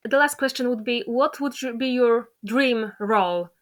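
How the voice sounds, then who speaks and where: A woman hosting a casual interview, speaking in a halting, appreciative way. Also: fairly high pitch. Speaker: interviewer, host.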